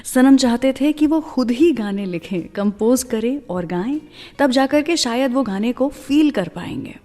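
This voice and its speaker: A woman's voice: fairly high pitch, expressive, a little slow, mellow, in a large hall. An Indian woman presenting a scripted radio show, telling stories and addressing listeners directly, mixing in English phrases. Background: music.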